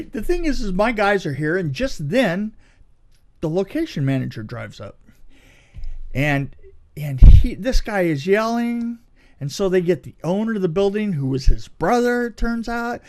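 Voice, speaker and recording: Animated man with a higher-pitched voice. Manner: dramatic, halting retelling with emphatic repeats. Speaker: podcast co-host telling anecdotes. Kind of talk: animated casual storytelling.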